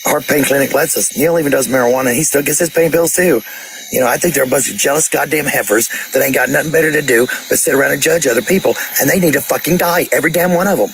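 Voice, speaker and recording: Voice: medium pitch, fast talker. Coarse, profane ranter on a noisy clip. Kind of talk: casual ranting. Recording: noisy played-back voice clip.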